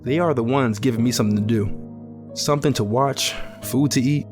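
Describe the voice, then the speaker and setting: A man's voice: mid-range voice, moderate pace, close to an everyday voice. A man playing a weary, reflective young betting clerk in a post-apocalyptic audio drama, speaking in a subdued, polite way. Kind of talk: audio drama narration and dialogue. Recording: music under the voice. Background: music.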